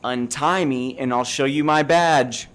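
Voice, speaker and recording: A man's voice: medium pitch, unhurried, fairly bright tone, close to an everyday voice. A man acting as a cornered undercover federal agent in a comedic radio play, earnest and exasperated. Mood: earnest, relieved.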